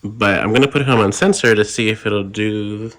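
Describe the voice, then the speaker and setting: A man hosting a Halloween channel, casually explaining a product setup step by step in a relaxed voice-over. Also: lowish voice, moderate pace. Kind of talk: casual product review and demo. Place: indoors, voice-over recorded apart from the footage.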